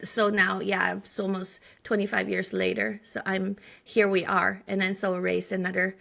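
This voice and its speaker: A woman's voice: mid-range voice, normal speed, gravelly, fairly bright tone. A woman in her forties from China with a Chinese accent, a guest telling her life story in an interview, earnest and full of restarts. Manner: frequent restarts and filler words, earnest storytelling. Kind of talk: conversational interview.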